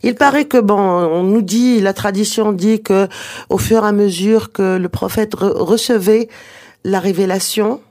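A woman's voice: mid-range voice, fairly even intonation, a little slow, a little mellow. A woman whose first language is Arabic, a guest author in a radio interview, explaining at length with hesitant restarts.

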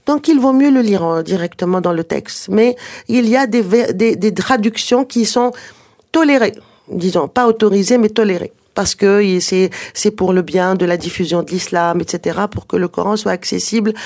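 A native Arabic speaker of medium pitch giving explanations in an interview, hesitant and digressive, with emphatic points. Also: darkish tone. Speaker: interviewed author and researcher on early Islamic texts.

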